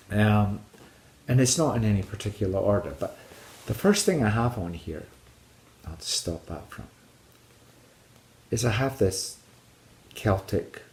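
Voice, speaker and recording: A man with a fairly low voice shares personal stories as a casual hiking vlogger. He rambles gently and haltingly, often repeating a phrase before moving on. The room around him is clearly echoey. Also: a little hiss or hum. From Scotland. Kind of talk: casual personal storytelling to viewers.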